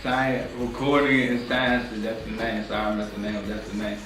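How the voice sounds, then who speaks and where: A man's voice: on the low side, little pitch movement, moderate pace. Laid-back young man, echoey distant studio mic. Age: 20s. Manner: laid-back, filler-heavy, lots of "like" and "you know what I'm saying". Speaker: music producer, guest. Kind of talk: casual interview answers. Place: small recording studio.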